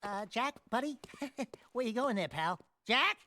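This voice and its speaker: High-pitched, cocky critter sidekick. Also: male voice, big pitch swings, a little slow, bright, forceful tone, a little hiss or hum, exaggerated voice. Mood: uneasy. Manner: motormouth, brash, hammy. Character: cocky, wisecracking small furry male animal sidekick. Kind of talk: video game cutscene acting.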